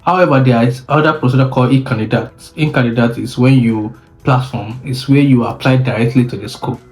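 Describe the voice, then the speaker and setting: A man's voice: medium pitch, steady tone, soft background music, poor audio. A young man from Nigeria with a Nigerian English accent, telling his own story in a casual vlog and often restarting his sentences. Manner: unhurried, with frequent restarts and self-corrections. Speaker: vlogger.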